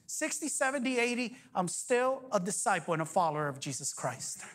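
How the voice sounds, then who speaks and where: A man in his forties preaching a sermon as pastor, energetic, joking and firing off rhetorical questions. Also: high-pitched, brisk. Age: 40s.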